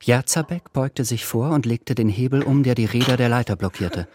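A man's voice: medium pitch, moderate pace, background noise. A man narrating a radio play in a scripted, literary style. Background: music.

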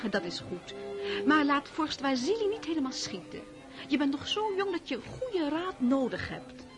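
Swooping high-voiced society hostess. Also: female voice, sing-song, moderate pace, over music. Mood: effusive, advising. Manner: effusive, coaxing, patronising. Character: gushing aristocratic society hostess, meddling and worldly. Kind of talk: radio drama acting.

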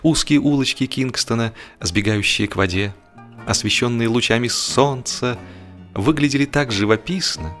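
A man reading a humorous novel as a scripted audiobook, telling it in an expressive, literary way. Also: medium pitch, normal speed, faint music behind. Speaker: audiobook narrator.

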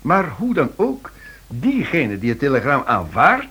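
An actor playing a shrewd, reluctant amateur sleuth in a radio play, reasoning in a wry, measured manner. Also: male voice, medium pitch, huge pitch swings, sharp tone, husky, full character voice. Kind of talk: Dutch-language radio play acting.

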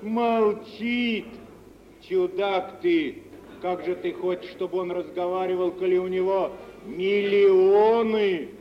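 An actor playing a stocky middle-aged café keeper in a radio drama, knowing and sententious, speaking in old folksy Russian vernacular. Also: male voice, sits high, steady tone, takes it slowly, soft background music. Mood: knowing, explaining. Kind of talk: mid-century Russian radio theatre acting. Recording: dull hissy 1950s radio-play recording, long reverb.

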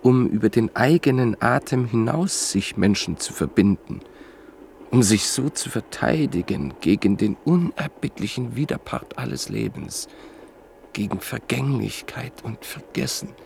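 An actor playing a reflective writer who narrates in a measured, literary way in a radio play. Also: male voice, medium pitch, lively intonation, gravelly, darkish tone, slight background noise. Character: reflective male writer and book collector, narrator.

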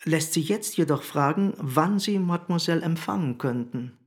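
Radio drama: formal, deferential hotel director, voice pitched a little high. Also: male voice, animated, moderate pace. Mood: polite, formal. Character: polite male hotel director relaying a message.

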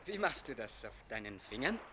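An actor playing an awkward, bookish young man haunted by memories, halting and brooding, in a German radio drama. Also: mid-range voice, very animated, heavy background noise, lightly acted. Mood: wistful. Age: young adult.

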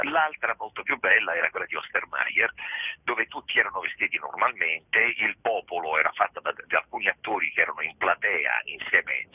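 A man's voice: low voice, slightly rough, no room sound. A man, a theatre director talking through his show in a radio interview, reflective and digressive with many restarts, over a phone line. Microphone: telephone.

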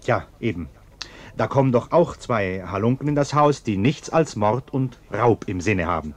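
An actor playing a defensive, sententious middle-aged bourgeois husband in a German radio play, blustering and indignant. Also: male voice, fairly low pitch, expressive, moderate pace. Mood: earnest.

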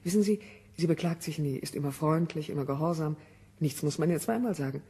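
An actor playing an admiring scientist in a radio drama, husky and eager to explain. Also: male voice, fairly high pitch, fairly quick, mellow. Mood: amazed. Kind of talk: 1970 German radio drama acting.

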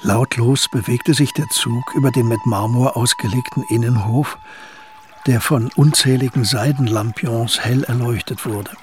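Measured male radio drama narrator. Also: medium pitch, steady tone, faint music behind. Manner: measured, storytelling.

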